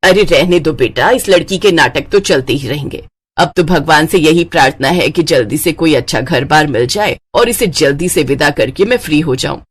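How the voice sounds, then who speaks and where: A woman's voice: deep voice, expressive, moderate pace, husky, voice pushed down. A woman voicing a scheming middle-aged stepmother in a cartoon story, complaining and wheedling. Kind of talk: Hindi cartoon moral story acting.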